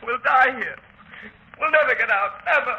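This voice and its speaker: An actor in a 1930s radio drama playing a half-starved prisoner unravelling in chains, bitter, melodramatic and repetitive with despair. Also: male voice, very high voice, moderate pace, bright, forceful tone, full character voice. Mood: hysterical despair. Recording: dull noisy 1930s radio recording.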